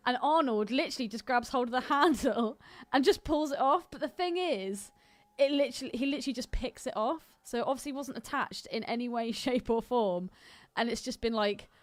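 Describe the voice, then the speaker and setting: Female podcast co-host, higher-pitched and very lively, blunt teasing banter, casual delivery, close dry sound. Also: moderate pace, no room sound. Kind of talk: casual film commentary banter.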